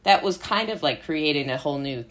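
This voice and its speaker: A woman in her twenties with an American accent and a low-pitched voice. She talks casually and animatedly, like a guest telling stories. Her sentences ramble and are sprinkled with "like" and giggles. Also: animated, fairly quick, fairly bright tone. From Brooklyn, United States. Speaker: interview guest, playwright and actor. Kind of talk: casual chat.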